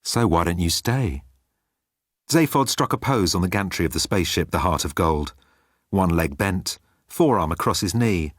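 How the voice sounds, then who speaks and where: Low-voiced man narrating comic fiction. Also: expressive, moderate pace, breathy edge, no echo, studio quality. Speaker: audiobook narrator.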